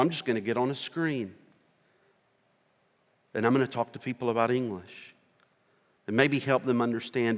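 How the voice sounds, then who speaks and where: A middle-aged man with a rural American accent preaching a sermon as a missionary, earnest and slow, with pauses between short phrases. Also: medium pitch, a bit bright. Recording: long reverb of a large hall.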